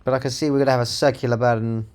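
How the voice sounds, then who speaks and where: A man with a mid-pitched voice talks through his programming in a casual, unscripted way. He sounds like a developer thinking out loud, musing half to himself as he works. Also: level tone, very fast. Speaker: software developer presenting own project. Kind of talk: casual live-coding narration, thinking aloud.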